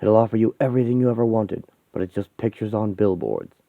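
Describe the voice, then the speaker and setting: A man playing a cryptic old mentor in a story, solemn and coaxing, with grand, measured phrasing. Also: fairly low pitch, lively intonation, creaky, dry recording. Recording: thin phone-like sound.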